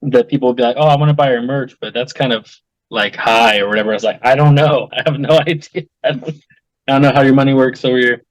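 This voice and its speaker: A man's voice: medium pitch, steady tone, fast talker, no echo, low-quality recording. Man band guest, easygoing, lots of fillers, dry sound. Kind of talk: casual interview chat.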